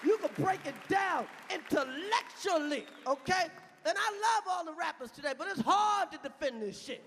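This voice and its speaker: High, gravelly man shouting stand-up. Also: animated, normal speed. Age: 30s. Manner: loud, shouting punchlines, chanting lyrics. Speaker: stand-up comedian. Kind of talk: stand-up comedy set. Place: large venue on stage. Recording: live hall with loud crowd and noise.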